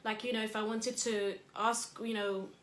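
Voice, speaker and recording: A woman's voice: fairly high pitch, level tone, normal speed. Woman, motivational host, chatty, rambling, echoey room, faint hum. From England. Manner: chatty, rambling, many fillers. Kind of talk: casual motivational talk.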